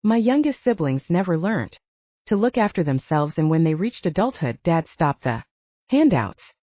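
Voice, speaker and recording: Narrator playing a candid, wounded woman ranger, lively pitch. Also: normal speed, dry recording, highs cut off. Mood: bitter, confiding. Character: wounded, self-reliant human woman ranger confiding family troubles. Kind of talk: audiobook character dialogue.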